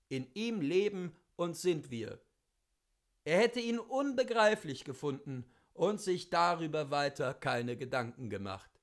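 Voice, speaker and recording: A man reading classic literature aloud in an expressive, theatrical and declamatory way. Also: high-pitched. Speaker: reader of literature.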